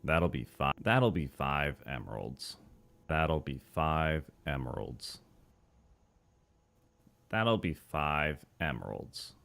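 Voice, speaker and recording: A gloomy shopkeeper from a cartoon, speaks in an extremely low, creaky drone. He sounds resigned and worn down, dragging out his lines very slowly while forcing a little pep. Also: big pitch swings, a bit bright, soft background music, full character voice. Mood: depressed, dutiful. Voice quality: droning, Squidward-like tone. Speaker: voice actor recording takes. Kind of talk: animated cartoon voice acting.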